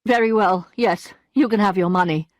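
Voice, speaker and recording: A woman's voice: mid-range voice, normal speed, no room sound, clean studio sound, lightly acted. A woman reading an audiobook, voicing a greedy, lecherous middle-aged lawyer who pleads eagerly. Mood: pleading.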